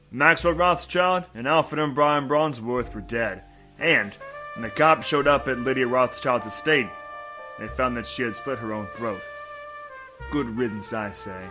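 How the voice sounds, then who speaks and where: An actor playing a world-weary, wisecracking private detective in a hardboiled noir audio drama, dry and sardonic. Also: male voice, medium pitch, lightly acted.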